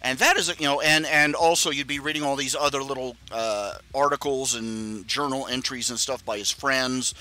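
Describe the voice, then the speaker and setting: A man's voice: mid-range voice, steady tone, normal speed, few pauses, sharp tone, rough recording. A man hosting a live stream, talking in a casual rambling monologue with many stammering restarts and chuckling asides.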